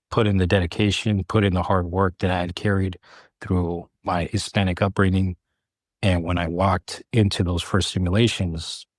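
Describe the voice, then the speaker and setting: A man in his late thirties from California, telling his own career story in an interview, earnestly and in short measured phrases. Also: low-pitched, no room sound, clean studio sound. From California, United States. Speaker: air traffic controller, podcast guest.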